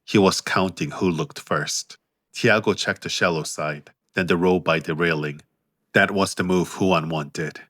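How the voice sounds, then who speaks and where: A man reading an audiobook romance as narrator in a measured, steady way. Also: low voice, no room sound, clean studio sound. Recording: faint music behind.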